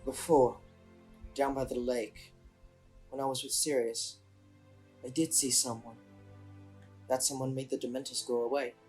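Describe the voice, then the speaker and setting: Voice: medium pitch, little pitch movement, unhurried, pauses often, a little mellow, faint music behind, natural voice. An actor playing a restless, earnest teenage wizard boy in an audio drama. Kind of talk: fan audio drama acting.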